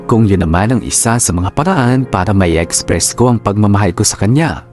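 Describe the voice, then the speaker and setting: A man with a Filipino accent narrating a wife's sentimental love story in the first person, in a deliberate radio drama style. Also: fairly low pitch, animated, darkish tone, faint music behind, natural voice. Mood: aggrieved, wistful. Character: aggrieved wife recounting her marriage.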